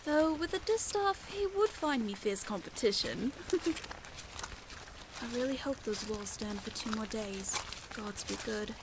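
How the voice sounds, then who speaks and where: A somewhat high voice of a woman acting as a stalwart, plain-spoken female soldier. The delivery is audio drama, with clearly audible ambient noise behind her. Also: expressive, a little slow. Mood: wistful, chatty. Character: stalwart, chatty soldier woman, devoted wife.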